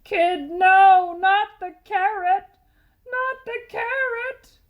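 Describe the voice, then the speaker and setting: A woman's voice: extremely high pitch, drawn-out words, narrow, tinny audio, pitched above the performer's own voice, exaggerated voice. A woman voicing a whiny, bossy, melodramatic talking tooth that craves sugar, in a storybook reading. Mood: panicked. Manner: pleading, melodramatic, mouthy.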